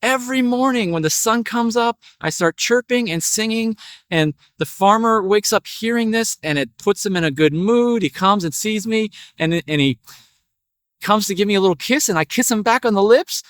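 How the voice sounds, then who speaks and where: A vain little storybook parakeet put on by a man speaks in a high, chirpy voice. He boasts and admires himself, recorded dry and close. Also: animated, moderate pace, fairly bright tone, no room sound. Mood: vain, proud. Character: vain, cute little male parakeet, showy and proud.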